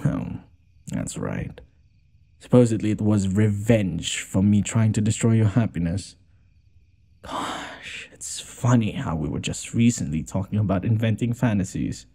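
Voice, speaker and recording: A man's voice: on the low side, measured pace, mellow, husky. A man playing a haughty, wounded noble lord in an audio roleplay, with a theatrical, sarcastic and exasperated delivery. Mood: bitter sarcasm. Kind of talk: scripted audio roleplay addressed to the listener.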